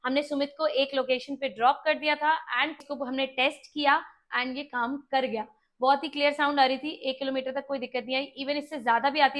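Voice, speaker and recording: High-pitched Indian woman presenting a review. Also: a bit bright. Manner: explanatory, conversational, mixing in English words. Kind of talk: walkie-talkie review with demonstration.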